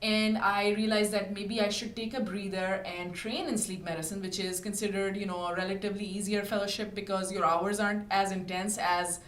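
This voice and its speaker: A woman from Pakistan in her thirties, a physician being interviewed, who explains things in a measured way with many fillers. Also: medium pitch, little pitch movement, echoey room, poor audio. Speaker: physician guest. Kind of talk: interview answers. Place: podcast studio.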